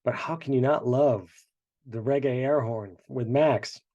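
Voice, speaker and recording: A man's voice: mid-range voice, measured pace, muffled recording, very noisy. A congested man, the show's creator, chatting through behind-the-scenes commentary with many fillers. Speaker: show creator.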